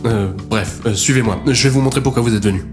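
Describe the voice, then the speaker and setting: A friendly, informal man in an audio drama, played by an actor. He talks quickly and a little awkwardly. The room echoes and music plays behind him. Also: mid-range voice, close to an everyday voice. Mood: embarrassed. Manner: informal, hesitant, talkative. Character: friendly, chatty male old pal, slightly awkward. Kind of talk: French audio drama acting. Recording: roomy sound with music behind.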